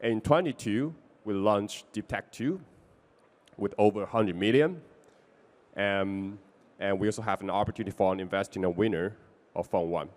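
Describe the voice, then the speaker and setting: A man from Taiwan with a Taiwanese accent presenting his investment fund in a business pitch, with small non-native grammar slips. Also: moderate pace, a little mellow.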